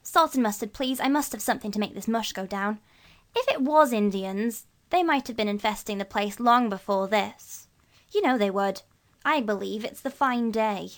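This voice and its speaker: A woman's voice: fairly high pitch, moderate pace, natural voice. A woman voicing an argumentative, dismissive schoolboy with an offhand manner in a children's storybook. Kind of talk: acted children's storybook reading.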